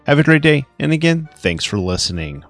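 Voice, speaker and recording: A man's voice: on the high side, sing-song, brisk, a bit bright, faint music behind. A man hosting a podcast, reading a scripted, upbeat outro. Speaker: podcast host.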